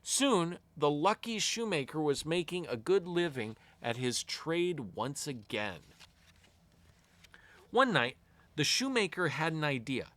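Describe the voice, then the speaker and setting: A man reading a fairy tale aloud to children as an expressive storyteller, with deliberate storybook phrasing. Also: fairly high pitch.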